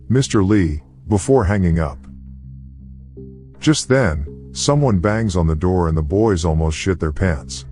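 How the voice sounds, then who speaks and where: A man with a very low-pitched voice delivers scripted movie recap narration. Faint music plays behind him as he tells the story. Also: darkish tone.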